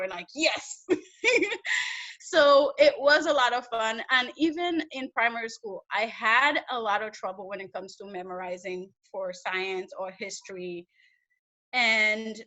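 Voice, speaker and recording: Young woman from Haiti, lo-fi video call. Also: fairly high pitch. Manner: animated storyteller, many fillers. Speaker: research data analyst, guest. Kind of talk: casual interview chat. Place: small indoor room on a video call. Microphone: laptop webcam mic on video call. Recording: dull lo-fi video call audio.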